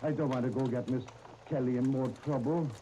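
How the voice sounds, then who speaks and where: An actor playing a simple, worried working man in a 1930s radio drama, with a Scandinavian accent and halting broken English. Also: mid-range voice, fairly even intonation, warm tone, natural voice. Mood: bewildered, worried. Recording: old 1930s radio disc, crackling noise.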